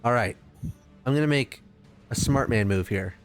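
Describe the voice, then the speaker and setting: A man's voice: mid-range voice, normal speed, faint music behind. A man playing a tabletop game, chatting casually in a playful, jokey way and swearing freely. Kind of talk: casual excited game chat.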